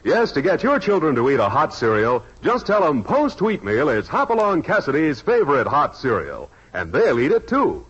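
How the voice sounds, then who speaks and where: A man delivering a scripted 1950s radio commercial as a pitchman. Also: huge pitch swings, moderate pace, hardly any pauses, a bit bright, slightly rough. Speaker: commercial announcer. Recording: dull old radio recording.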